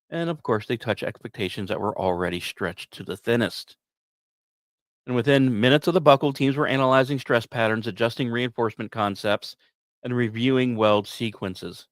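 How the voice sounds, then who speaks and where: A man hosting a podcast, reading scripted narration with casual asides in a storyteller's manner, with dramatic pauses and emphasis. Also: medium pitch, no echo, clean studio sound. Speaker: podcast host.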